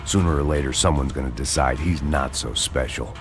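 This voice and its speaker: An actor playing a world-weary middle-aged American action hero, narrating a game in sardonic, deadpan noir style. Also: male voice, very deep voice, fairly quick. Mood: wry, weary. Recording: loud music behind the voice. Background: loud music.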